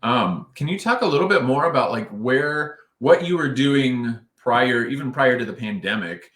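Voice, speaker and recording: A man interviewing a client, asking questions haltingly, with many ums and restarts. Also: medium pitch, lively intonation, fairly bright tone. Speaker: interviewer and coach. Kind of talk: casual interview. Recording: echoey room.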